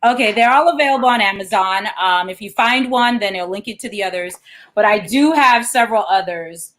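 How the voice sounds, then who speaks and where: A woman's voice: mid-range voice, fairly quick, fairly bright tone. A woman, a children's author, reading aloud and explaining things as an interview guest.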